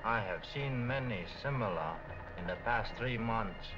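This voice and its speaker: An older actor playing a grave, wise old Chinese shopkeeper, formal, cryptic and deliberate, in a 1940s film. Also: male voice, on the low side, expressive. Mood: solemn. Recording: muffled 1940 film soundtrack with music.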